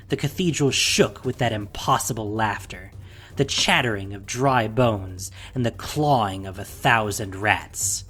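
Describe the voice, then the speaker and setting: A man narrating a scripted fantasy audio drama in a dramatic, deliberate way, with a breathy voice. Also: medium pitch.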